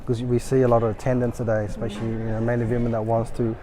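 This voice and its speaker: A man hosting an event interview, eager and halting, with frequent restarts and fillers. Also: medium pitch, flat delivery, over music, low-quality recording.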